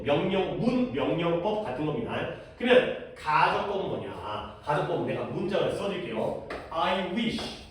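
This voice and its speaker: A man teaches a grammar lesson in a relaxed, chatty way, asking his students quick questions. His voice sits high and moves around a lot. He is picked up from a distance in a classroom that rings with echo. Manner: casual explaining, asks students questions. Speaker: English teacher. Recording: echoey classroom from far off.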